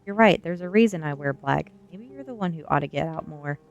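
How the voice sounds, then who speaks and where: A woman's voice: low-pitched, expressive, fairly quick, highs cut off, faint music behind, pitched below the performer's own voice. A woman voicing a rebellious, sardonic sixteen-year-old goth girl in an audiobook, dry and defiant. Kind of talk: audiobook character voice.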